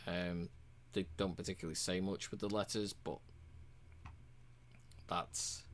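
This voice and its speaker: A man casually walking through a game's achievements, relaxed and rambling, with frequent ums and uhs. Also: sits low, a little slow, slight background noise. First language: English. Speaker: game reviewer.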